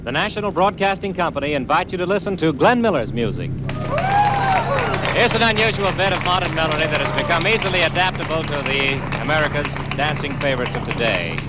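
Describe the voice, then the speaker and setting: A man announcing formally in old-time big band radio style, with loud vehicle noise over the broadcast. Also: a little high, expressive, a little fast. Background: loud vehicle and outdoor noise.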